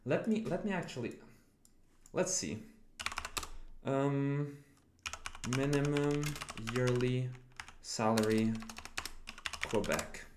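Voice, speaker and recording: A man's voice: medium pitch, slow pace, pauses often, echoey room, background noise. Rambling young man streaming at home. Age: 20s. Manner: rambling, filler-heavy, swears often, talks to chat. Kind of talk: casual live-stream chat. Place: home room.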